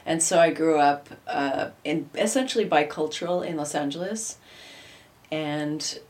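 A woman, her Hungarian, chatting in an interview. Her voice sits low for a woman, and she talks easily and warmly, laughing often as she tells her story. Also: a little slow. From United States. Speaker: interview guest, opera singer. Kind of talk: casual interview conversation.

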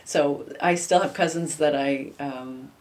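A woman, her Hungarian, chatting in an interview. Her voice sits low for a woman, and she talks easily and warmly, laughing often as she tells her story. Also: a little slow. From United States. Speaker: interview guest, opera singer. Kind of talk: casual interview conversation.

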